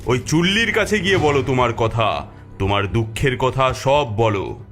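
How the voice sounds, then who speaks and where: A man's voice: medium pitch, expressive, normal speed, a bit bright, pitched below the performer's own voice, close to an everyday voice. A man voicing a wise, stern but kindly old king in a cartoon fairy tale, grave and commanding. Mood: probing. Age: older. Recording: music behind the voice.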